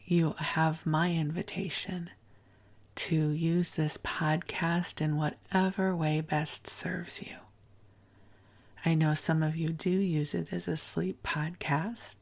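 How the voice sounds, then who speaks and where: A woman hosting a sleep podcast in a soft, hushed, soothing and gently rambling tone, deliberately lulling. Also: low voice. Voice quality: soft, hushed, breathy at phrase ends. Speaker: sleep podcast host and spiritual teacher.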